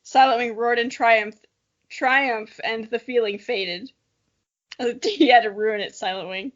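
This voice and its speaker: High-pitched woman, young adult, casual read-aloud with commentary, self-mocking humor, lo-fi muffled sound. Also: unhurried. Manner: playful, self-mocking, jokey. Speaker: podcast co-host. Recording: dull, lo-fi audio.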